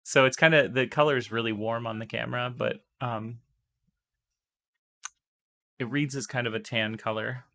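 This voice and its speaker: Young male streamer, hoarse and losing his voice, upbeat, explains painting steps while chatting to viewers. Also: mid-range voice, fairly even intonation, moderate pace, pauses often, sharp tone. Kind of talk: live-stream painting tutorial.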